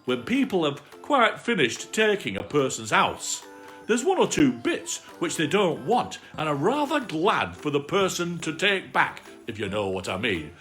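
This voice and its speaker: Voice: medium pitch, very animated, measured pace, fairly bright tone, faint music behind. A storybook reader voicing a gloomy, self-pitying old male donkey with melancholy, sarcastic grumbling. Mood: gloomy, resigned.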